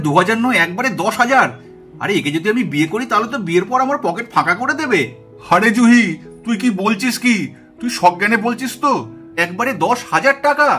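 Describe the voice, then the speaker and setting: Guy doing stingy young cartoon man, really high bright voice, grumbly, music behind. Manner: exclamatory, grumbling, incredulous. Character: protesting, tight-fisted young man at a wedding. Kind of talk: puppet-show cartoon dialogue.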